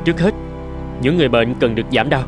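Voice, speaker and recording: A man's voice: mid-range voice, fast talker, over music, natural voice. An actor voicing a polite, idealistic young medical man in a dubbed cartoon, respectful and earnest in his delivery. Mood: earnest, pleading. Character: polite, idealistic young man, doctor's apprentice turned physician.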